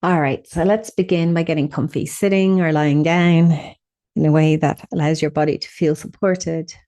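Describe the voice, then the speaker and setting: A woman leading a guided meditation, speaking in a soothing, unhurried way with gentle instructions and pauses. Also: low-pitched, steady tone.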